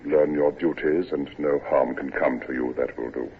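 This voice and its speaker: A very deep, husky old sea captain. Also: male voice, fairly even intonation, heavily acted. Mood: stern. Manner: slow, dry, morose. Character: gloomy old male ship's captain, stern but not unkind. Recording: tinny old radio broadcast.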